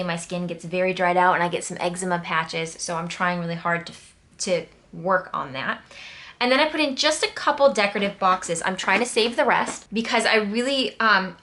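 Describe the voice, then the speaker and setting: A woman's voice: mid-range voice, pushed and bright. A woman with an American accent and a mid-range voice hosts a casual hobby voice-over. She talks in a chatty, conversational way and drifts into small personal asides. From Connecticut, New England, United States. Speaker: planner channel host.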